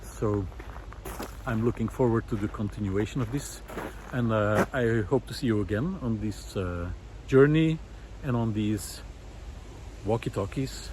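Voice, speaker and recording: Halting, earnest low-key male vlogger, noisy outdoors. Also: darkish tone. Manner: hesitant, halting phrasing, earnest. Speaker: vlogger, former visual artist. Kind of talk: reflective unscripted monologue to camera while outdoors. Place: outdoors in a park. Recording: outdoor ambience with clear traffic noise.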